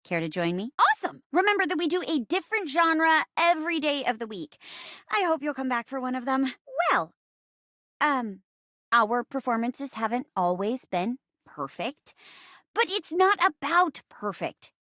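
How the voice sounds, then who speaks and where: High, animated, flirty theatre chair. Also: female voice, moderate pace, no room sound, studio quality, full character voice. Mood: showy, inviting, then encouraging, upbeat. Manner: bubbly, theatrical, stammering when flustered. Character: living chair, eager theatre actress, flustered and flirty. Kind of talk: video game character voice acting.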